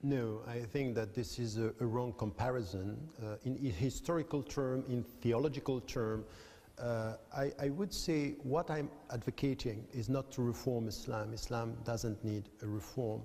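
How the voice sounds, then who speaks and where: A male academic from Switzerland answers in a measured, explanatory way, repeating phrases to stress his point. His voice is mellow and dark in tone. Long reverb trails behind him in a large hall. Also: medium pitch. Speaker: guest academic. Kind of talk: debate answers.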